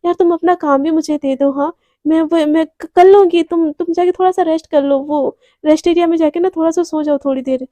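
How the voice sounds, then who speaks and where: An anxious, caring young office boss, acted by a female storyteller, with a high, mellow voice. She coaxes and apologises hesitantly in an audio story. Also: steady tone, fairly quick, no echo. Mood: coaxing. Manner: pleading, hesitant, coaxing.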